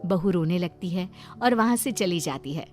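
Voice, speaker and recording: A woman narrating a scripted moral story as an expressive storyteller. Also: fairly low pitch, normal speed, faint music behind.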